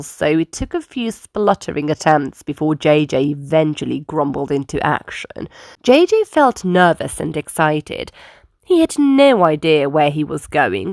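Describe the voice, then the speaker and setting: A woman storyteller reading a children's book aloud with expressive, dramatic emphasis. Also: deep voice, no echo, clean studio sound. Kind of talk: children's read-aloud narration.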